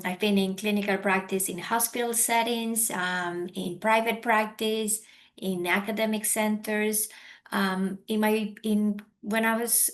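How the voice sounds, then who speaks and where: Woman from Ecuador, 40s, thick Spanish accent, warm audiologist panelist on a video call. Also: mid-range voice, vocal fry. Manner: warm, self-deprecating, many um fillers.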